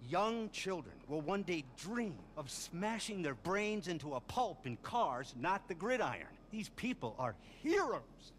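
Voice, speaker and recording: An actor playing a manic, vain middle-aged show producer who gushes and rambles in a video game. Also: male voice, high voice, very animated, unhurried, few pauses, a bit bright, slight background noise, full character voice. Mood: excited pitching. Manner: gushing, rambling, sudden swoops.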